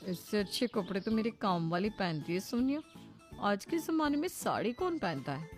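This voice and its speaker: A woman's voice: medium pitch, big pitch swings, moderate pace, faint music behind, close to an everyday voice. A woman voicing a snobbish young modern party friend in a cartoon, chatty and condescending. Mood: mocking.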